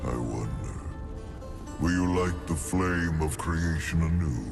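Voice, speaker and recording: An actor voicing an ancient demonic judge for a video game, booming and gravelly, declaiming in grave, solemn ceremony. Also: male voice, animated, takes it slowly, music in the background, full character voice. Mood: solemn, ominous. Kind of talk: video game cinematic voice acting.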